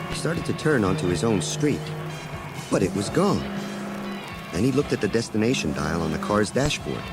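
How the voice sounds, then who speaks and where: A man narrating a children's read-along story in a dramatic, expressive storytelling manner. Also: on the low side, moderate pace, over loud music.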